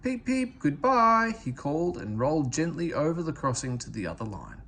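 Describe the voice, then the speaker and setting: Animated man reading a children's storybook. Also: mid-range voice, fairly quick, slightly rough. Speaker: storybook reader. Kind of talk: expressive scripted children's story narration.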